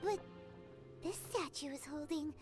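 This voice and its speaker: A woman voicing a tiny, timid, childlike fairy companion in a video game, squeaky and expressive. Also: a little slow. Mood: timid, worried. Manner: speaks of herself in third person, expressive. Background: game music.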